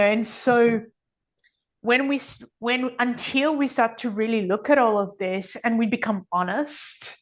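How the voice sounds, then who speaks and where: A woman with a fairly high voice talks as a guest in a conversational interview. She explains things at length and leans on key phrases, earnest and emphatic. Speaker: podcast guest, author and energy healer.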